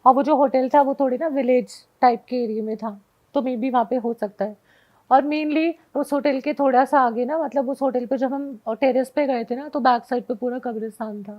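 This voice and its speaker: A woman's voice: sits high, moderate pace. A young woman telling a personal story casually as a guest, mixing English words into her talk. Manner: mixes Hindi with English words. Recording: dull lo-fi recording.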